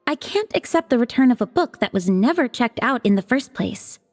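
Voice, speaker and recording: A fussy librarian with a high, lively voice. She is prim and sticks to the rules. The game audio is echoey, with soft music. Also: fairly quick. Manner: prim and rule-bound. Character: fussy by-the-book woman librarian. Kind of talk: video game dialogue.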